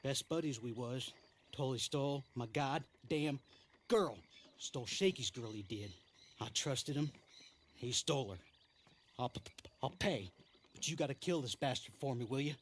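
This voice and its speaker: An actor playing a nervous, suspicious small-time outlaw with a heavy stutter in a video game. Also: male voice, mid-range voice, normal speed, long pauses, slight background noise, close to an everyday voice. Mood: bitter, agitated. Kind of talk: video game character acting.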